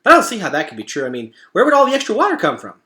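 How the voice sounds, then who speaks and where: A man puts on a small, anxious storybook animal. The voice is pitched high and swoops about, chattering quickly in a fretful, questioning way. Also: wildly swooping pitch, bright, forceful tone, echoey room, heavily acted. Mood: puzzled, fretful.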